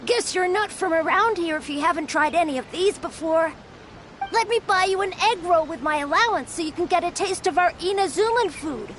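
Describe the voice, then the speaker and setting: A woman's voice: extremely high pitch, expressive, fairly quick, fairly bright tone, a little hiss or hum. A woman voicing a friendly, proud young boy in a video game, with an emphatic, exclamatory way of talking.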